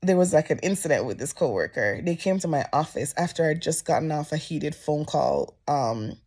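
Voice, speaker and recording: A Jamaican woman chats straight to camera and tells a story with animated energy. Her voice has a gravelly fry at the bottom and leaps higher whenever she quotes people. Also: moderate pace. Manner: animated storyteller, voice jumping up when quoting people. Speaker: vlogger. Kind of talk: casual storytime chat to camera. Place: office.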